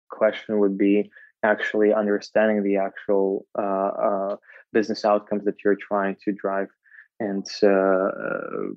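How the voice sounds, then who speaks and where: A man, a startup founder answering interview questions in a halting way, with many filler sounds. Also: on the low side, dry recording, narrow, tinny audio. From Russia.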